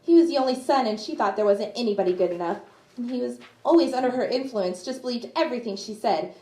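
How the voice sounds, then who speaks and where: A woman plays a jilted young woman in a radio play, her higher voice ringing in a very roomy space. She is bitter, jealous and obsessive about her hurt. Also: quick pace, warm tone, lightly acted. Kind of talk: live student radio drama performance. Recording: echoey live stage recording.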